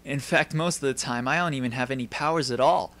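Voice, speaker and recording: A man's voice: medium pitch, fairly quick, fairly bright tone, lightly acted. A man voicing a polite, composed teenage esper who explains things courteously in an anime dub style. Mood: calm, assured.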